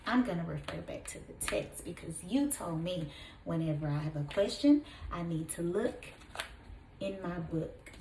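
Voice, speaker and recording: A woman teacher reading a story aloud to young children in an expressive, deliberate way, prompting them with questions. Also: fairly low pitch, background noise. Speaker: kindergarten teacher. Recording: echoey room.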